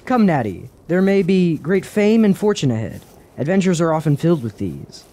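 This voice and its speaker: An actor playing a thoughtful, questioning adventurer in a fantasy radio drama, earnest and persuasive, with formal phrasing. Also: male voice, a little high, very animated, normal speed, mellow.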